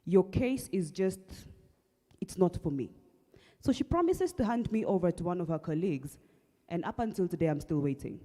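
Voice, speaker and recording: A young Kenyan woman with a husky voice, earnestly telling her personal story at an awareness event, with halting restarts. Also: lowish voice, lively intonation, mellow. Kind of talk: personal testimony talk. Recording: long hall reverb.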